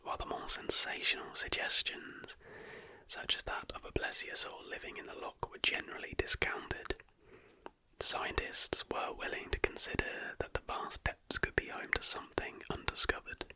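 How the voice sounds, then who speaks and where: A host whispering a scripted ASMR narration softly from start to finish. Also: moderate pace, low-quality recording. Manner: soft whispering throughout.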